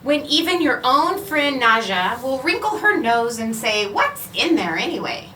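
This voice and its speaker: A woman reading a children's picture book aloud in a measured, expressive storytelling way, with clear phrasing. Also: high voice. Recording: roomy, echoing, distant mic, faint noise.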